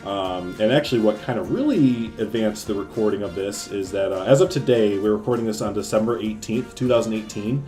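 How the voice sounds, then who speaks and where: A man's voice: lowish voice, fairly quick, few pauses, mellow, soft background music. A man co-hosting a casual gaming chat, upbeat and talkative, leaning on "like" and "um". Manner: upbeat, laughs often, lots of "like" and "um". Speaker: co-host of a gaming series.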